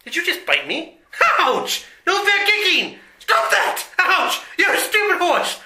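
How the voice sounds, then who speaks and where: A man's voice: very high-pitched, huge pitch swings, a little slow, bright, forceful tone, echoey room, full character voice. A man playing an easily offended, whiny western villain boss in a comedy radio play, theatrical and indignant. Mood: panicked.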